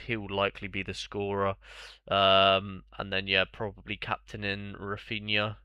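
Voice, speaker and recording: A man's voice: lowish voice, bright, forceful tone, clear, resonant tone, dry recording. A young man with a bunged-up, congested voice, chatting casually on a live stream and answering viewers' questions in a rambling way.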